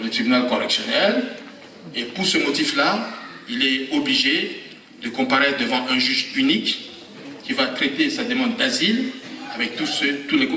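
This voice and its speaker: A man with a Congolese French accent speaks as a lawyer at a public conference, explaining points deliberately and didactically. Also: medium pitch, sharp tone. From Democratic Republic of the Congo. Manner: deliberate, didactic, rhetorical questions. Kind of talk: public talk, explanatory speech. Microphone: handheld or event microphone. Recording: very echoey hall, far from mic.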